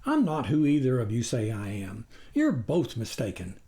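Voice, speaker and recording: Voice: medium pitch, huge pitch swings, normal speed, mellow. A narrator putting on a yappy, wheedling coyote. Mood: wounded, indignant. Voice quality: baying, yapping, at times near howling. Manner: over-polite, wheedling, indignant, repeats words. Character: smooth-talking wily coyote posing as government poultry inspector.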